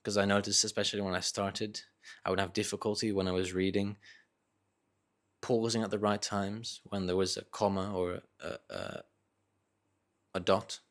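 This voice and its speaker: A man with a Northern English accent and a slight Dutch influence, talking casually and unscripted in a reflective, halting way, often correcting himself. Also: on the low side, level tone. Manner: hesitant, reflective, halting phrasing with self-corrections. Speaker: vlogger sharing reading thoughts.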